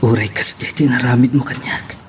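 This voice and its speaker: A man's voice: mid-range voice, steady tone, fairly quick, noisy background, close to an everyday voice. A man with an Ilocano accent playing a heartbroken, devoted young lover in an audio melodrama, speaking with tender sorrow. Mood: tender grief. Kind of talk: Ilocano radio-style melodrama acting.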